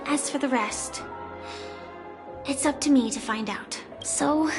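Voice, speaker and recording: An actress voicing a quiet young woman on the run in a game, speaking softly and reflectively. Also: high-pitched. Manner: soft, reflective, hushed. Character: quiet young woman fugitive, soft and melancholic. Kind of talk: game dialogue.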